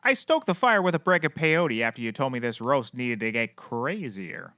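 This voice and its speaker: An actor playing a deluded, cheerfully oblivious private investigator who talks excitably and boastfully in a scripted comedy. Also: male voice, mid-range voice, normal speed, dry recording. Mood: giddy. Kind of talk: scripted comedy audio acting.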